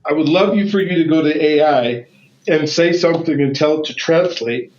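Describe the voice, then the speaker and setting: Excited man in a roomy room. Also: fairly high pitch, moderate pace. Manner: enthusiastic, repeats words. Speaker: podcast host. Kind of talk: casual interview chat. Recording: roomy lo-fi sound with faint noise.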